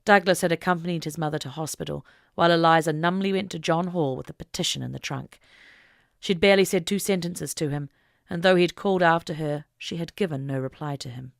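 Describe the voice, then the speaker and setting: A woman narrating an audiobook story in a deliberate, expressive, dramatic reading style. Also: low-pitched, vocal fry, no room sound, clean studio sound.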